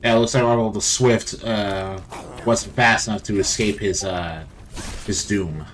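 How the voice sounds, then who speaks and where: A man's voice: on the low side, steady tone, a little slow, fairly bright tone, slight background noise. A man giving casual, rambling game commentary as host, often repeating his phrases. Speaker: gaming video host.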